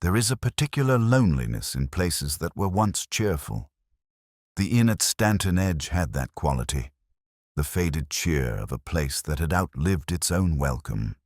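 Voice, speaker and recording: Male narrator for detective fiction: extremely low voice, lively intonation, dry close sound, brooding and measured. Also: no room sound. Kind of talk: first-person scripted detective story narration.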